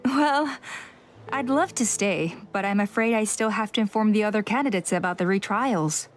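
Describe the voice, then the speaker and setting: A woman playing a dutiful female clan elder in a video game, speaking in a measured, formal manner. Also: medium pitch, faint music behind, natural voice. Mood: earnest.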